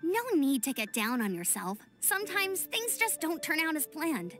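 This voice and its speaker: A cheerful young knight scout. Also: female voice, high-pitched, big pitch swings, normal speed, fairly bright tone. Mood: friendly. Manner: friendly, encouraging. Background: game music.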